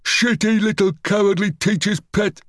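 A man's voice: high voice, steady tone, moderate pace, no room sound, studio quality, voice pushed up, natural voice. A man voicing a crude, cocky schoolboy bully in an audiobook story, taunting in a mocking, malicious way. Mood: malicious crowing.

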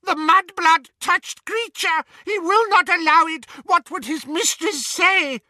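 A man's voice: extremely high pitch, unhurried, sharp tone, dry recording, studio quality, pitched above the performer's own voice, full character voice. A man voicing an elderly male house-elf, servile and grief-stricken, crying and stammering through a wail. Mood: shrill indignation. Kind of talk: audiobook character voice.

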